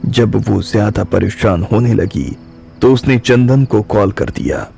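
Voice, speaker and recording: A man narrating an audio drama in calm, measured storytelling. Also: on the low side, vocal fry. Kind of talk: slow scripted audiobook narration. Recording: soft music bed.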